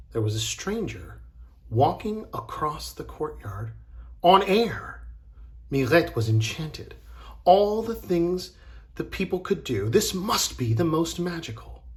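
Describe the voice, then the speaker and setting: An encouraging, expressive man teaching a children's drama lesson and narrating a storybook. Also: a little high, slow pace.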